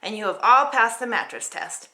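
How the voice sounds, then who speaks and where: Woman doing a bossy queen, imperious, dismissive, forceful delivery, children's storybook style. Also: fairly high pitch, animated, moderate pace, gravelly, exaggerated voice, poor audio. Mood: pompous, formal. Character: haughty, curt storybook queen, bossy and unbending. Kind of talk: children's storybook read-aloud.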